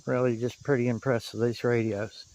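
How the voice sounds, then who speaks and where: A man's voice: medium pitch, flat delivery, moderate pace, darkish tone, dry recording, rough recording. A man hosting a gear review, talking casually and in folksy, colloquial phrasing to camera and over a two-way radio. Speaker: video host reviewing walkie-talkies. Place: outdoors on a hill.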